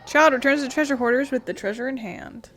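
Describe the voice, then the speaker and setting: A woman's voice: sits high, a little fast, a bit bright, soft background music. A woman gaming and giving casual, playful commentary, half talking to herself. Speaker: game streamer. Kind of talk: casual let's play commentary.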